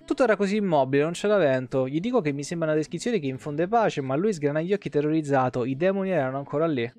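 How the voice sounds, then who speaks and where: A man's voice: fairly high pitch, lively intonation, quick pace. A man in his thirties with a tiring voice, reading game lore aloud on a livestream with casual asides. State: voice tired and giving out. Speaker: game streamer. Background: soft plucked guitar music.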